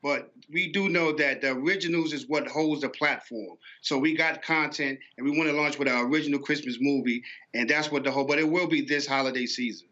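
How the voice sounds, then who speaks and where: A man's voice: on the high side, a little fast, few pauses, pushed and bright, lo-fi sound. A man being interviewed as an animation entrepreneur, explaining his business conversationally.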